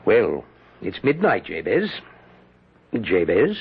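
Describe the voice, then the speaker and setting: An actor playing an imperious, wry British general in a 1970s radio drama, commanding and theatrical. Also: male voice, low voice, wildly swooping pitch, slow pace, gravelly, background noise, full character voice. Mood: curt, expectant. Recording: dull lo-fi 1970s radio recording.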